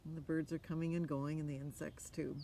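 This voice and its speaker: A woman's voice: deep voice, little pitch movement, a little fast, creaky. An older woman reading a picture book aloud outdoors, with chatty asides and questions to young listeners. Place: outdoors on a forest floor. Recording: outdoor ambience with noticeable background noise.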